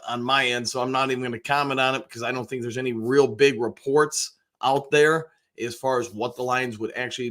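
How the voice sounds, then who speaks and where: An American man with a slightly hoarse voice, chatting in a rambling, conversational way as he hosts a casual live sports fan show. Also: medium pitch, a bit bright. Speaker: sports show host, basketball coach and realtor.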